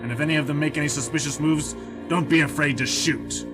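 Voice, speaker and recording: A man plays a military general in an audio drama, his voice pitched fairly high for a man. He is smug and hard, sneering at his captives and commanding his troops. Loud music plays underneath. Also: fairly even intonation, brisk, natural voice. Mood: barking orders.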